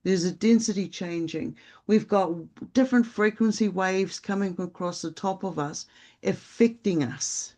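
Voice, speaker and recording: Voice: medium pitch, measured pace, warm tone. A podcast co-host talking in a casual, rambling and reflective way, with plenty of fillers. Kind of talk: casual spiritual podcast chat.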